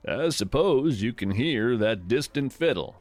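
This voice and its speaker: A man playing a gentle, folksy naturalist who hosts a scripted fiction podcast, musing poetically and with wonder about the woods. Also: mid-range voice, expressive, no room sound, natural voice. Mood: curious, musing. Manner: reflective, poetic, unhurried musing. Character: gentle, wonder-struck folksy naturalist narrating a woodland walk. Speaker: podcast host.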